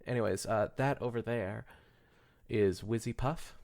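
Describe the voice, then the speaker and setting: A man's voice: on the low side, measured pace, gravelly, darkish tone. A man hosting a casual comedy podcast, joking and rambling with some swearing. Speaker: podcast host.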